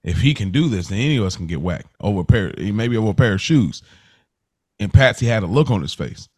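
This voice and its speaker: Low-voiced American man hosting a casual discussion. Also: animated, fairly quick, a little mellow, breathy edge. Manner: informal, poses questions to co-hosts. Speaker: podcast host.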